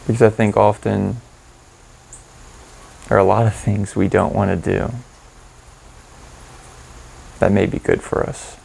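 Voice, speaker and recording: A man leading a guided meditation in an informal, candid and gently instructive way. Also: fairly low pitch, level tone, a little slow, vocal fry, long pauses, husky, rough recording.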